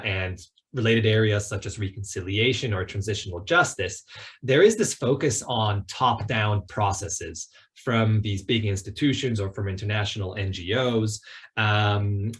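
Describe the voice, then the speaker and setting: A man giving a semi-prepared, discursive academic talk as a panelist at an online event. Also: on the low side. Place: online video call.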